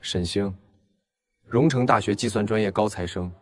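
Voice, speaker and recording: An actor playing a shrewd young male police captain, controlled, probing and matter-of-fact, in an animated drama. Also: on the low side, moderate pace, no room sound, close to an everyday voice. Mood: cool, probing. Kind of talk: Chinese animated audio drama acting.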